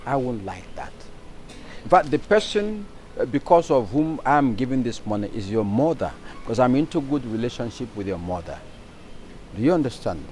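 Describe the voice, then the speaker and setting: A man's voice: medium pitch, very animated, darkish tone, slight background noise, close to an everyday voice. A man as a stern Nigerian moneylender. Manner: stern and measured.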